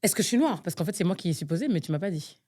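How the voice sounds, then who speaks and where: Lowish-voiced woman from Nigeria, exasperated. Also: animated, racing, warm tone, a little hiss or hum. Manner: exasperated, thinks aloud with many fillers. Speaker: podcast co-host. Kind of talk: casual chat, guessing game.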